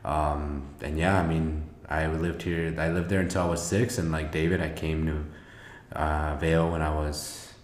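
A man from Mexico co-hosting a casual podcast as a youth mentor, relaxed and quick to laugh. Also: a bass voice, reverberant.

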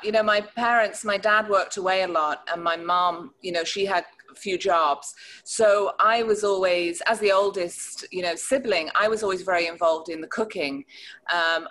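Lowish-voiced Northern English woman, chatting. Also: a bit bright, rough recording. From north of England, rural Cheshire. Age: middle-aged. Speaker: interview guest, wine educator and professor. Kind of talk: casual conversational reminiscence.

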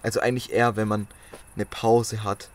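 A man's voice: on the low side, flat delivery, a little fast. A man in casual podcast chat, musing and thinking aloud in loose phrasing. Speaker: podcast co-host.

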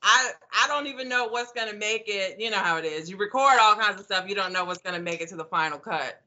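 A woman's voice: mid-range voice, fast, sharp tone, muffled recording. A woman co-hosting a podcast in casual, rambling chat with many restarts. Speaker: podcast co-host.

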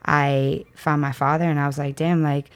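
Woman in her twenties, Kenyan-raised guest, lowish pitch, lively slang-filled storytelling. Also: normal speed, clean tone. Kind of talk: casual interview chat.

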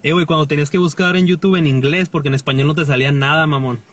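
A man's voice: on the high side, steady tone, racing, a bit bright, muffled recording. A Mexican man in his twenties, a guest chatting casually in a joking, slangy, rambling way. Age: 20s. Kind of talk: casual chat.

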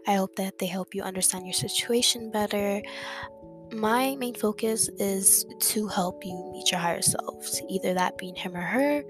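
A young woman hosting her own podcast, talking in a soft, reflective way and repeating words for emphasis. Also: medium pitch, moderate pace. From Dominican family background. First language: English. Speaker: podcast host. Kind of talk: casual solo podcast monologue. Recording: music playing underneath.

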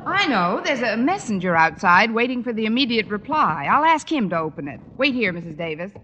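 An actress playing a wry, sharp-witted schoolteacher with dry, sardonic delivery in a 1950s radio sitcom. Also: female voice, fairly high pitch, big pitch swings, brisk, dull audio. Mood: wry, coaxing. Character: wry, sharp-witted female schoolteacher, exasperated but composed. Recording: old radio recording, slight noise.

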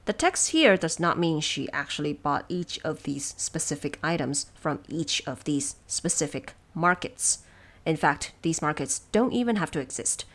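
A woman presenting explanatory production notes from a script in a measured, instructive way. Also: low voice, lively intonation, a bit bright. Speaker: audio drama producer and presenter.

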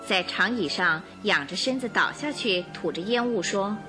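A woman narrates a scripted radio drama. Her mid-pitched voice is expressive and lively, with music clearly playing underneath. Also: normal speed.

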